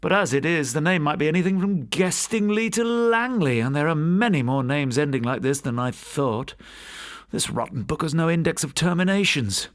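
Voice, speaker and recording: A fussy male museum curator from a storybook ghost tale, acted by a male narrator. He talks in a raised, animated pitch, grumbling lightly like a peevish academic. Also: moderate pace, lightly acted.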